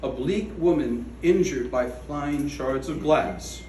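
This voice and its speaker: A man reading his own poem aloud at a gallery event, slow and measured, with falling line endings. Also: mid-range voice, a little mellow, a little hiss or hum. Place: art gallery room. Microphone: away from the microphone. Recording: echoey room from a distance.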